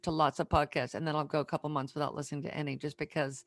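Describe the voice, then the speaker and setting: Middle aged american lady podcast host, lowish gravelly voice, chatting casually. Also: level tone, racing, no room sound. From United States. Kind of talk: casual conversational interview.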